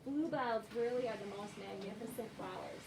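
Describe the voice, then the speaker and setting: A woman's voice: mid-range voice, moderate pace. A woman teacher leading an interactive read-aloud lesson, questioning children and affirming their answers. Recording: echoey room, distant mic, clear background noise.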